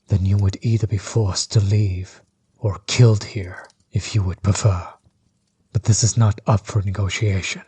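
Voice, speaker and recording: A man playing a menacing, bitter sorcerer overlord in an audio roleplay, breathy and husky, measured and condescending, often sinking toward a whisper. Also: fairly low pitch, warm tone, heavily acted. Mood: threatening, resolute. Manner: measured, condescending, coldly taunting. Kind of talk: scripted villain monologue, audio roleplay.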